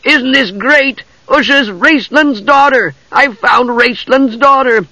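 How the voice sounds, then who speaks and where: A small, cheerful kender voiced by a male narrator chatters away in a high, bright pitch. He is childlike and eager, full of enthusiasm, in a lively fantasy storybook style. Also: unhurried, husky, no room sound, exaggerated voice, poor audio. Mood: excited.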